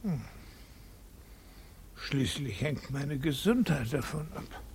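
An actor playing a cold, health-obsessed husband in a 1950s German horror radio play, speaking with husky, fretful deliberation. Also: male voice, medium pitch, animated, takes it slowly, darkish tone, slight background noise. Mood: fretful, reproachful. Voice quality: husky, creaky.

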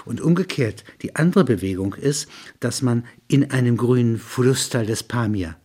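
A man narrates literary material in the role of reader and commentator. His voice sits at a middling pitch and has a somewhat husky, unpolished grain. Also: moderate pace, dark tone.

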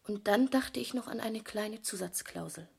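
A woman's voice: mid-range voice, normal speed, vocal fry, a little mellow, husky. An actress playing a self-possessed, hard-bargaining woman painter in a German radio drama, cool and assertive. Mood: cool, businesslike. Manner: cool, assertive, matter-of-fact.

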